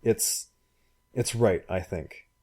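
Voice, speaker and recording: A man voicing a desperate, angry young man who shouts and bellows. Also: low voice, slow, husky, heavily acted. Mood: shaken. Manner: shouting across surf.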